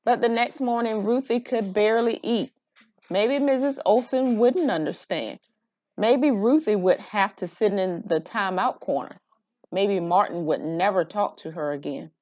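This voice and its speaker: A woman reading a children's picture book aloud in an expressive storytelling style. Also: medium pitch, a little slow, no echo, dull audio.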